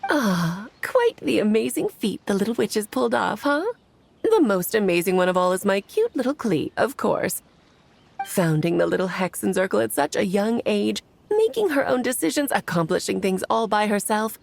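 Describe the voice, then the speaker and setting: A woman's voice: mid-range voice, big pitch swings, normal speed, vocal fry, dry recording. An actress playing a playful, theatrical grown witch in a video game, eloquent, airy and teasing. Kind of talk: video game cutscene acting.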